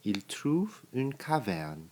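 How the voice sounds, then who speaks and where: A man reading a children's picture book aloud, in deliberate, simple phrases with pauses. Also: mid-range voice, big pitch swings, warm tone, slight background noise. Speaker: storybook reader.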